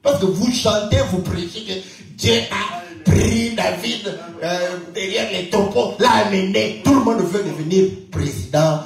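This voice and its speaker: A man from Congo preaching a sermon, swinging from loud exclamations to quiet asides, asking the congregation rhetorical questions and laughing often. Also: a little slow, vocal fry, hardly any pauses, distant mic, soft background music. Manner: animated, swings between loud high exclamations and low murmured asides. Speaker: pastor. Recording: very echoey room, speaker away from mic.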